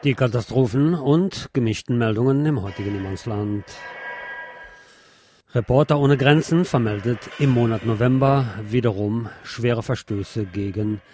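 A man's voice: mid-range voice, moderate pace, noisy background. A man reading scripted narration as a podcast host.

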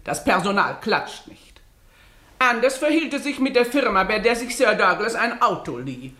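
A woman's voice: medium pitch, big pitch swings, moderate pace, sharp tone. A prim, self-righteous lady giving testimony in a radio drama. She speaks formally and precisely with offended dignity, and the sound is noticeably roomy and echoing. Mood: prim, self-satisfied. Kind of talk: German radio drama acting, witness testimony.

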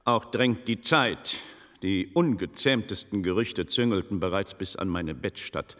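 A grumpy, imperious scholar-seer in a radio drama. His voice is low and recorded close and dry. He speaks in florid, sardonic phrases and gives orders as if used to being obeyed. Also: lively intonation, unhurried, a bit bright, no room sound. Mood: orating. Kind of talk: German radio crime play acting.

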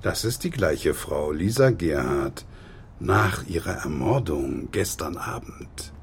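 An actor playing a dry, sardonic police inspector who questions people formally in a German radio crime drama. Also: male voice, extremely low pitch, animated, takes it slowly, creaky, slight background noise, full character voice. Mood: stern, dry.

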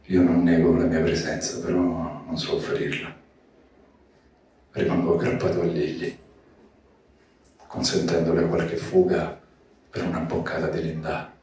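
A man giving a slow literary reading of poetic prose. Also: low-pitched, flat delivery, darkish tone. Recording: roomy echoing space, distant mic, faint noise.

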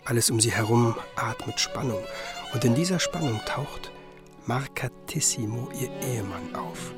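A cultured, observant male musicologist, played by an actor speaks in a radio drama. His mid-pitched voice is measured and literary, choosing precise descriptive words over a bed of music. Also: lively intonation, natural voice. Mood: intent, evocative. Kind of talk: radio drama narration and dialogue. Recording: music behind the voice.

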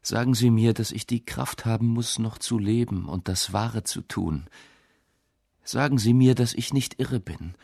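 An actor voicing a despairing young poet reading out an anguished, pleading letter in a radio essay, with a gravelly, husky voice. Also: male voice, mid-range voice, measured pace, a little mellow, dry recording, clean studio sound.

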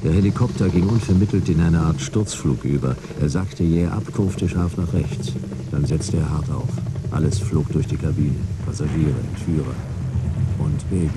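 A man playing a dry, world-weary detective who narrates his case in laconic, deadpan radio drama style. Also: very deep voice, moderate pace, heavy background noise. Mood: wry, brooding. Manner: laconic, deadpan, clipped sentences. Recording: loud vehicle ambience behind.

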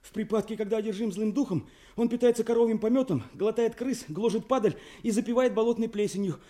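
Radio drama: male actor as mad, pitiful beggar, erratic and haunted; high register, soft-edged tone, roomy echo. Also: quick pace, lightly acted. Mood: feverish rambling. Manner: rambling, chanting, erratic outbursts. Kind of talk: theatrical radio drama acting.

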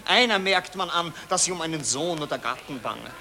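An actor playing a grumbling, timid and cynical Viennese reporter in a 1940s German radio play, theatrical and excitable. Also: male voice, fairly high pitch, fairly quick, crowd noise. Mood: weary complaint.

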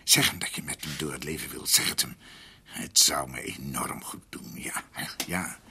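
Casting: ageing self-reproaching doctor, husky yet forceful, halting delivery, radio play. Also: male voice, extremely low pitch, no echo, exaggerated voice. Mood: earnest. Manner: halting, muttering to himself in broken phrases. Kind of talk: radio drama acting.

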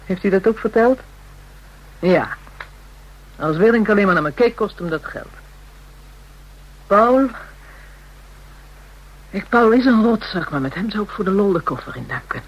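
An actress playing a brash, jaded, street-hardened prostitute in a Dutch radio play, coarse, scornful and frank. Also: female voice, mid-range voice, animated, unhurried, pauses often, dull audio. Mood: bitter, frank. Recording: radio drama with background noise.

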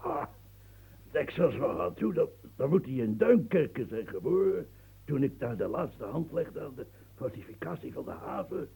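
A man's voice: medium pitch, sing-song, moderate pace, gravelly, dark tone, slightly rough, heavily acted, rough recording. An actor playing a flustered, kindly old marquis who stammers and fumbles his words, in a radio drama. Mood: fumbling. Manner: hesitant, stammering. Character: aging weary male marquis, flustered and kindly.

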